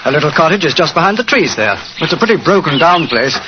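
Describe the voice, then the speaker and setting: Excitable, higher-voiced painter. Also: male voice, fast talker. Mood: conversational. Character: village painter, excitable, uneasy gentleman. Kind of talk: 1940s radio drama acting. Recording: muffled, noisy 1940s radio recording.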